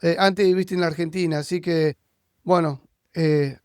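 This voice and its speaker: A man's voice: fairly high pitch, steady tone, normal speed, a little mellow, smooth, no echo, clean studio sound. A man hosting a casual podcast interview. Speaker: podcast host interviewing a guest.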